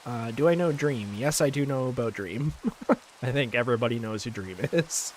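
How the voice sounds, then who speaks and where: A young man from Canada chats loosely while he plays, telling long stories packed with "like" and "um". He breaks off now and then to answer chat messages. His voice sits at an ordinary middle pitch for a man. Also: normal speed, a little hiss or hum, low-quality recording. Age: young adult. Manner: rambling, filler-heavy storytelling, reads chat aloud. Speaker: streamer. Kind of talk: casual live-stream chat while gaming.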